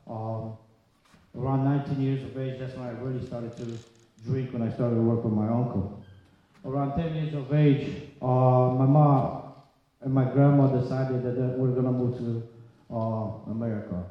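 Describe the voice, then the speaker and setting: Guy w telling his story to a group, halting short phrases, super echoey hall, kinda dull sound. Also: medium pitch. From Poland. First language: Polish. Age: 30s or 40s. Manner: halting, plain-spoken delivery in short phrases. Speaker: speaker sharing his story at a recovery meeting. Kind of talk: personal testimony to a group.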